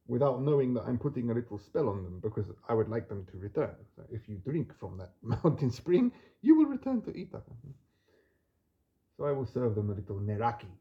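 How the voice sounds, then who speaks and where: A man hosting a relaxed podcast, talking in a gentle, unhurried and reflective way, with soft trailing endings and some Greek words read with a learner's accent. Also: medium pitch, lo-fi sound. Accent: non-native Greek pronunciation when reading. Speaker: podcast host. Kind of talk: relaxed spoken monologue with poetry reading.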